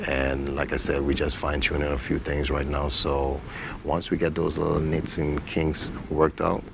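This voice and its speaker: A man with an extremely deep voice answers as an interview guest. His delivery stays flat, and there is clear noise behind him. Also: normal speed. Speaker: play director, interview guest. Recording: noticeable background noise.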